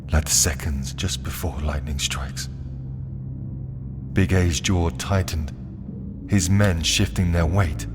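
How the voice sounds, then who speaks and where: A man narrating a dark first-person audio drama, brooding and deliberate, with dramatic pauses. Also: deep voice. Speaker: narrator and performer. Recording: clear ambient noise behind the voice.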